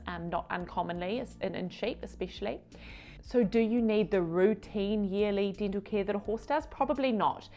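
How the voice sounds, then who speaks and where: A woman in her thirties, a veterinarian, explaining to camera in a chatty way while gesturing with her hands in a home studio. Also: mid-range voice.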